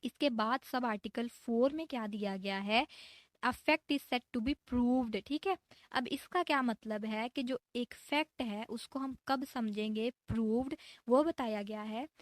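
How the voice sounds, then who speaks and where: Female law lecturer, higher-pitched, dry close sound; didactic, question-and-answer explaining style. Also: measured pace, dry recording. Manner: didactic, asks and answers own questions, frequent check-in tags. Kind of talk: explanatory lecture reading out legal definitions and explaining them.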